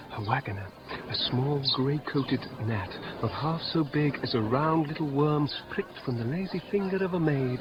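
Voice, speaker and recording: Man acting witty theatrical gentleman, muffled old radio. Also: mid-range voice, close to an everyday voice. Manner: theatrical, playful verse delivery. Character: Mercutio, witty teasing young gentleman friend. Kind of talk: 1950s radio Shakespeare acting. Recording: muffled old radio broadcast, music behind.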